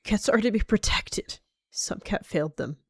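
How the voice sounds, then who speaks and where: A woman's voice: mid-range voice, animated, moderate pace, husky, no room sound, studio quality, pitched above the performer's own voice. A woman voicing a guilt-ridden female medicine cat, anguished and insistent, in a dramatic animal roleplay. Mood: outraged.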